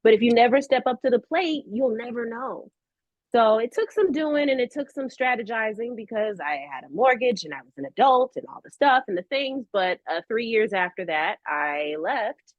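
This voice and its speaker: A woman's voice: fairly high pitch, animated, normal speed, no echo, muffled recording. A middle-aged American woman telling stories conversationally as an interview guest, emphatic and slangy, repeating phrases for effect. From United States. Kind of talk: animated conversational interview storytelling.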